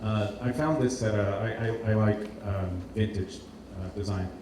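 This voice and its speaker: A man's voice: fairly low pitch, steady tone, moderate pace. A man from Portugal giving an informal presentation as a designer, speaking hesitantly and repeating words as he searches for phrasing. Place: indoor event space with heavy echo. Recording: roomy, distant, noisy live hall recording.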